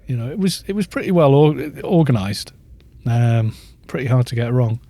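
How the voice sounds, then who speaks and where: A man's voice: medium pitch, expressive, normal speed, warm tone, dry recording. A British man in his fifties chatting in a rambling way while streaming a game and reading out viewer chat. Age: 50s. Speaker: gaming streamer.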